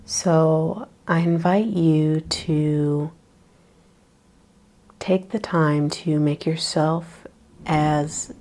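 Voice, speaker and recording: A woman teaching a guided yoga nidra meditation, speaking softly with long pauses and breathy, trailing words. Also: deep voice, steady tone.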